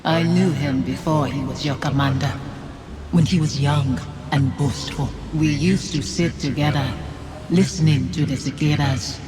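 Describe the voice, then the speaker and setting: A woman's voice: sits low, steady tone, measured pace. A nightmare phantom of a dead wife in a game drama. She is solemn, reproachful and accusing, every phrase a bitter taunt. Prominent music plays behind her. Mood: taunting, cold. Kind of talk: dramatic video game acting. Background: loud music.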